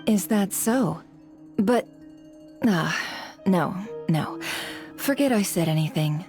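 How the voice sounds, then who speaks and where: Sly broker lady, kinda low voice, cool n polite, coy, video game dub w music under. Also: lively intonation, slow, a little mellow. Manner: composed, coy, insinuating. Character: shrewd, sly female intelligence broker, coolly polite. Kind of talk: video game character dubbing.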